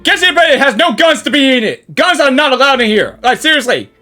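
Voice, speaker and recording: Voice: high-pitched, big pitch swings, fairly quick, pushed and bright, faint music behind. A game reaction creator giving casual, exclamatory, slangy commentary and talking back to the characters. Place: game audio playing behind the voice.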